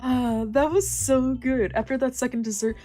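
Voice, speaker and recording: Woman voicing a chatty, playful young game guy, lifted pitch. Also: moderate pace, mellow, soft background music. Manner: bubbly, familiar, mildly grumbly. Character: chatty, playful, teasing young man in visual novel. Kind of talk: acted visual novel dialogue.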